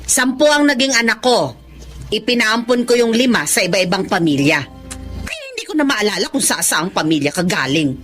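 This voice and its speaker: A woman's voice: medium pitch, very animated, normal speed, fairly bright tone, faint music behind. A Filipino woman playing a hard, unsentimental poor mother of many in a radio drama, blunt and scornful. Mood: bitter, defensive. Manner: blunt, scornful, rhetorical questioning.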